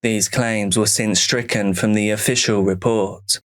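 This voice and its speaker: A man narrates a scripted horror mystery as if reading out an official file. His voice sits on the low side and he moves very slowly through short, clipped phrases. The delivery is measured and report-like. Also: fairly even intonation.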